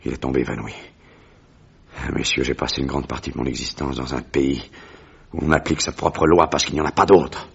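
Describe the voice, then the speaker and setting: A man's voice: extremely low pitch, fairly even intonation, creaky, slightly rough, heavily acted. A man acting a grim, righteous explorer who takes revenge, with grave, theatrical delivery in a French radio drama. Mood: grave, resolute. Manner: grave, deliberate, theatrical confession with bursts of fury. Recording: dull, lo-fi old radio recording.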